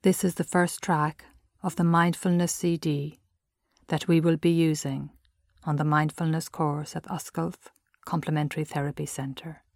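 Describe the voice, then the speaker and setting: A woman with an Irish accent gives measured, gentle guided meditation narration as a mindfulness instructor. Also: low voice, no echo, clean studio sound.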